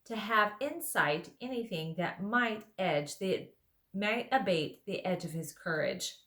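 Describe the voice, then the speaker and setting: A woman's voice: mid-range voice, expressive, unhurried, bright, forceful tone. A woman doing a grave, courteous young king. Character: young noble male king, kind and grave.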